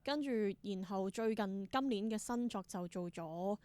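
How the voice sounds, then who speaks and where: A woman with a Hong Kong Cantonese accent speaks at a middle pitch as an interview guest. She talks conversationally, explaining as she goes and mixing English words into her sentences. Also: unhurried, darkish tone, clear, resonant tone. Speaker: interview guest, theatre performer.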